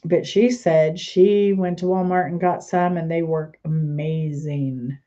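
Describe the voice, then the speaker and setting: Woman host, stuffy and congested, casual rambling chat, low voice, dull lo-fi recording. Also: fairly even intonation, slow, dark tone. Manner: chatty, rambling, trails off mid-sentence. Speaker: channel host.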